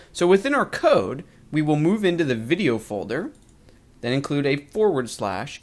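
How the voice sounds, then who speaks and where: Casting: male instructor, mid-pitched with animated intonation, measured scripted lesson read, clear enunciation. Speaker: web design course instructor. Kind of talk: scripted tutorial narration.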